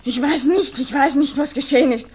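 An actress playing a distraught, lovesick young daughter in a radio drama, insistently pressing short, urgent questions. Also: female voice, high voice, a little fast, a little mellow, lightly acted. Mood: desperate, demanding. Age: young adult. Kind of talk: 1960s German-language radio drama acting.

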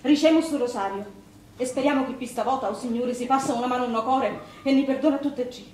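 An actress playing a stern, scandalised, pious Sicilian sister at a wake, in theatrical dialect. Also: female voice, high-pitched, fast, close to an everyday voice. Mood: pious, resigned. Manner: indignant, exclamatory, questioning. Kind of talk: theatrical stage drama in dialect. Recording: distant roomy stage with loud background noise.

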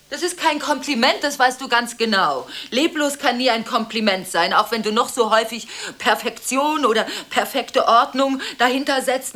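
An actress playing a volatile, contradictory woman arguing through one side of frantic telephone calls in a radio drama. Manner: abrupt swings between hushed confiding and shrill scolding.

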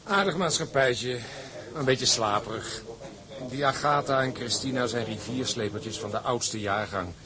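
A slow-spoken seaman acted by a man with a mid-range voice. He sounds deliberate and appraising in radio-play dialogue, with distinct crowd sound around him. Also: mid-range voice, lightly acted. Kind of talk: Dutch audio drama dialogue.

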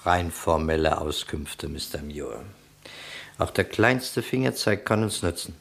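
Shrewd investigator, deep male voice, dry, probing, sceptical, radio crime drama. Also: lively intonation, measured pace, vocal fry, fairly bright tone, full character voice. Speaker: actor in a radio crime play.

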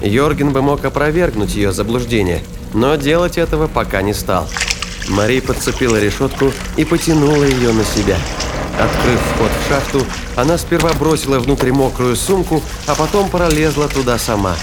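A man narrating a scripted audio drama in a measured storytelling manner. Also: medium pitch, animated, noisy background. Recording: narration over loud music and effects.

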